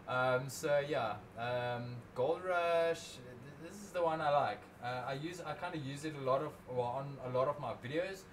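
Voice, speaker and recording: A young man talks through an editing tutorial in a loose, rambling way, often restarting his sentences. His voice sits at a middling pitch. He speaks into a desk mic, and steady background noise runs under the whole recording. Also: unhurried. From South Africa. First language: Afrikaans. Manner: rambling, self-correcting, casual. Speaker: drone video creator.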